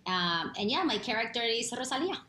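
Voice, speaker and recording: A woman's voice: fairly high pitch, very animated, a little fast, pushed and bright. Effusive woman on an echoey video call. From Puerto Rico. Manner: effusive, gushing. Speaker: actress and singer guest. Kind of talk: remote TV interview. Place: home room, indoors. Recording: echoey room, faint hum.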